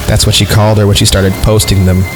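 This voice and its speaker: A man's voice: fairly low pitch, flat delivery, fast talker, background noise. A man reading a horror story as a podcast host, breaking into casual, sarcastic, irreverent asides. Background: background music.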